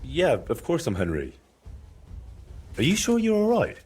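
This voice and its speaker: An actor playing a practical young adventurer in a video game, speaking in a direct, wry way. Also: male voice, a little high, wildly swooping pitch, unhurried, darkish tone, slightly rough, soft background music, full character voice. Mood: concerned, wry. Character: plain-spoken, practical young male adventurer. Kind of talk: naturalistic video game dialogue.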